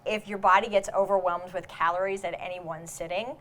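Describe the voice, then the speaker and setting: A woman's voice: on the low side, fairly even intonation, fairly quick, a bit bright, a little hiss or hum. A woman nutritionist explaining diet in a casual interview.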